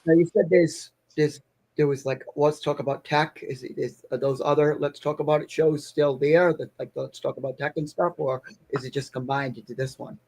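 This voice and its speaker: A man's voice: fairly high pitch, steady tone, moderate pace, a little mellow, poor audio. A man in his forties, a guest in a casual chat, hesitant and often repeating his words. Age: 40s.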